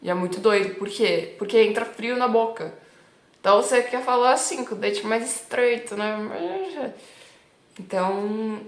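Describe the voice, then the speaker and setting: Chatty São Paulo woman in a roomy space. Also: on the high side. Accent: São Paulo Brazilian Portuguese. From Brazil. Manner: chatty and emphatic, frequent "né" and "tipo" tags. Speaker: Italian teacher. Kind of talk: casual teaching vlog. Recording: roomy echo.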